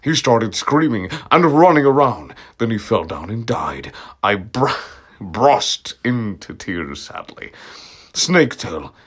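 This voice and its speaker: A man's voice: lowish voice, lively intonation, slow pace, a bit bright, breathy edge. A man giving a comedic, dramatic read-aloud of fan fiction with amused asides. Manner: amused, breaks into laughter and mocking asides. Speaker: amateur reader of fan fiction.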